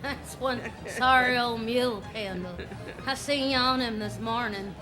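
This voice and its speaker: Jeering henchman played by a woman, rustic, slow and fairly high, for a staged western radio play. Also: over music, close to an everyday voice, poor audio. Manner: curt rustic drawl. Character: mean male cowboy henchman, gruffly jeering. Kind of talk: western radio drama acting.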